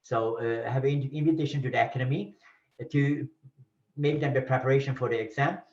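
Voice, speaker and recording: A man's voice: medium pitch, darkish tone. A man with a Hungarian accent and Hungarian as his first language, a ballet teacher talking in an interview, rambling and restarting often. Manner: rambling, halting, frequent repeats and restarts, laughing. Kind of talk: casual interview talk. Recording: roomy, distant, dull lo-fi sound.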